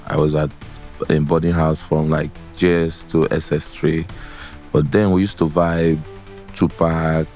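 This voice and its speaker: Deep bass Nigerian man. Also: level tone, a little slow, darkish tone, faint music behind. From Port Harcourt, Nigeria. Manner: mixes in Nigerian Pidgin. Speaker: guest musician, singer. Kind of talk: casual storytelling in interview. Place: podcast studio.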